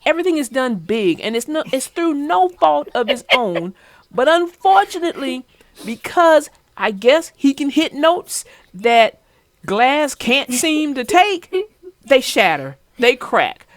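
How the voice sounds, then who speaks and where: Unhurried woman, lively swings in pitch. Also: no echo, clean studio sound. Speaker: host of children's read-aloud show. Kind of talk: chatty story discussion for young viewers.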